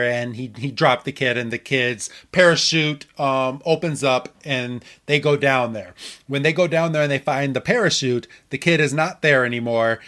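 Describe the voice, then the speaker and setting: A man hosts a casual podcast recap in a medium-pitched voice. He stammers and doubles back on words while telling the story in a conversational way. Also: a bit bright. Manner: stammering, repeats words as he goes. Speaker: podcast host. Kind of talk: casual chat, episode recap.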